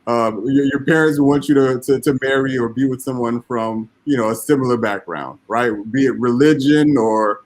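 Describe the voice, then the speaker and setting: A man on a panel discussion, speaking haltingly with many "you know"s and repeats. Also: medium pitch, darkish tone. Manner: halting, lots of fillers and repeated words. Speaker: panel guest. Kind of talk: casual discussion.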